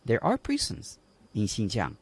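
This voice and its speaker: A man's voice: fairly low pitch, huge pitch swings, unhurried, vocal fry, a little mellow, husky. A man from Colombia speaking emphatically on a livestream panel, asking rhetorical questions. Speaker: panel guest.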